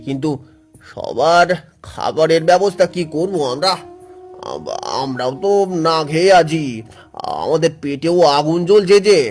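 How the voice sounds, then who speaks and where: An actor playing an anxious, poor village woodcutter in a cartoon, speaking in a worried, doubtful way. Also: male voice, on the high side, sing-song, a little slow. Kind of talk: Bengali cartoon story voice acting. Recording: music playing under the voice.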